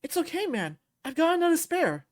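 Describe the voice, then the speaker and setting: A woman's voice: sits high, animated, unhurried, voice pushed up. A woman voicing a small, friendly and reassuring young male fighter for a visual novel. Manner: reassuring, upbeat.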